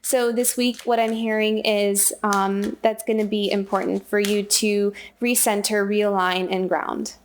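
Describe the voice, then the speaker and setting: A woman doing a casual spiritual oracle card reading, talking in a soft, rambling way while losing her voice. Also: mid-range voice, level tone, unhurried, few pauses, slight background noise. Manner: soft, rambling, repeats and restarts phrases. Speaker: tarot and oracle card reader.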